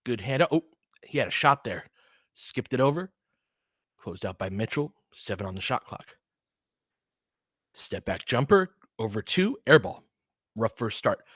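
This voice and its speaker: A man's voice: medium pitch, big pitch swings, measured pace, long pauses, a bit bright, breathy edge, no room sound, studio quality. A man giving casual, opinionated basketball play-by-play as a fan host, chatting conversationally with listeners between calls. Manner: conversational, opinionated, answers chat commenters by name.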